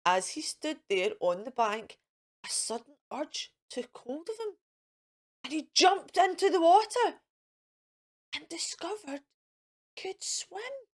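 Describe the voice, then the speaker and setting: A woman's voice: high-pitched, sing-song, slow pace, pauses often. A woman hosting a children's storytime, reading a picture book aloud in a theatrical, sing-song way and speaking directly to the children.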